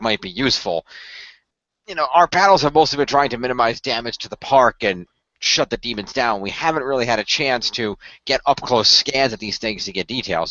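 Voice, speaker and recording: A man playing an earnest tech expert in an amateur radio play, explaining things in a wordy, halting, technical way. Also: medium pitch, pushed and bright, no room sound, natural voice. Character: earnest male tech expert of a hero team, wordy planner. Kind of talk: amateur radio play, improvised-sounding dialogue. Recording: dull lo-fi voice recording.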